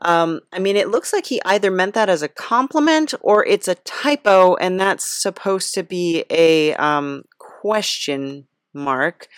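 Slow, halting woman podcast host. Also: fairly low pitch, expressive, clear, resonant tone, no echo, studio quality. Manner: hesitant, halting, giggly. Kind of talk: casual comedic interview.

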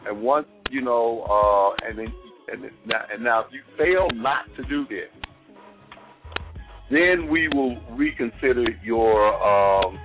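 A man calling in to a radio show, speaking haltingly with repeated words and many fillers. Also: mid-range voice. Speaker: caller and political commentator. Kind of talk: call-in discussion. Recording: tinny telephone call with music.

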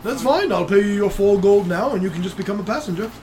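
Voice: medium pitch, expressive, fast talker, darkish tone, a little hiss or hum, natural voice. A game master playing a stern, humorless male caravan guard captain who answers curtly and dryly. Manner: curt, dry.

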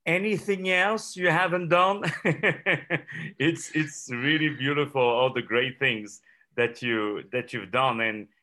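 A man's voice: mid-range voice, slow pace, sharp tone. A man hosting a radio interview, effusive and formal, greeting the audience like an announcer. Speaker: interview host.

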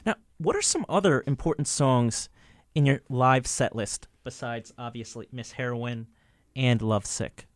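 A man's voice: medium pitch, unhurried, no echo, studio quality. A man interviewing a singer, asking casual questions with loose "like" fillers.

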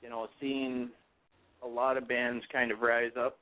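Guest caller, man with Minnesota accent, mid-pitched, relaxed and halting, over a tinny phone line. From California. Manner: easygoing, hesitant, chuckles. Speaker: guest, music promoter. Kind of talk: phone-in radio interview.

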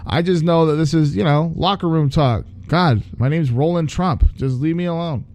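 A man voicing a nervous young male cop who babbles and tries to calm someone down. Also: fairly high pitch, no room sound, voice pushed up, natural voice. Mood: jittery placating. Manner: fast jittery placating chatter.

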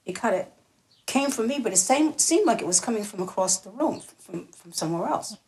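A woman singer and stage actress, a guest recounting her career in a relaxed interview, laughing often and repeating words for emphasis. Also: mid-range voice, fairly quick, rough recording. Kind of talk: relaxed reminiscing interview talk.

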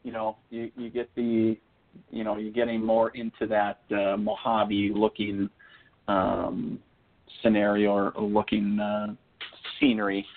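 A man's voice: lowish voice, little pitch movement, a little slow, vocal fry. An American man chatting casually as a guest, joking and rambling, often saying "you know". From northern Minnesota, United States. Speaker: guest psychic medium. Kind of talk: casual interview chat. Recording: phone-like thin audio.

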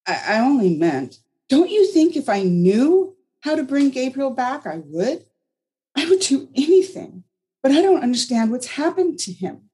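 A woman's voice: sits high, very animated, a little slow, dark tone, voice pushed up. Woman voicing a distraught, bitter young mother. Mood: agitated. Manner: pleading, bitter, defensive.

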